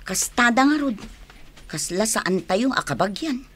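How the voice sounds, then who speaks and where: High, animated farmer's wife. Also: female voice, a little slow, breathy edge, full character voice. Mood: dismayed, resigned. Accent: Ilocano Filipino. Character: excitable young farmer's wife, aggrieved but patient. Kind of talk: Filipino radio drama acting.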